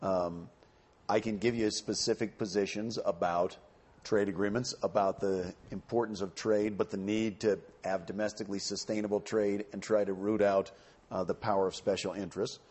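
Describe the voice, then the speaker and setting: A man on a panel answering audience questions in a measured, explanatory way. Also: lowish voice, little pitch movement, a little mellow, breathy edge. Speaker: panelist speaking for a campaign.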